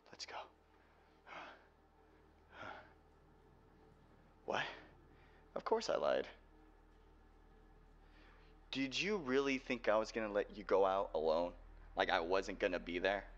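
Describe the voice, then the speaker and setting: Low-voiced cocky hacker boyfriend, acted by a man. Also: muffled recording, exaggerated voice. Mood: teasing. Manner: casual, sarcastic, swearing. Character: protective, cocky young male cyberpunk hacker and boyfriend. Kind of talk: roleplay audio drama, close and hushed in places.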